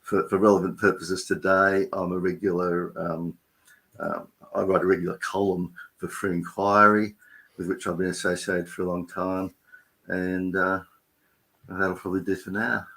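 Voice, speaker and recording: An older man with an Australian accent, a philosopher and writer introducing himself on a livestream panel in a relaxed, rambling way. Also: low voice, normal speed, gravelly.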